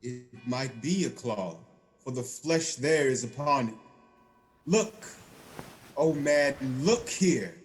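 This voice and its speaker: An actor playing an aged, solemn and stern dying spirit in an audio drama, speaking gravely and portentously. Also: male voice, mid-range voice, expressive, soft background music. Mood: grave warning. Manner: slow, grave, portentous delivery. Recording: long cavernous reverb.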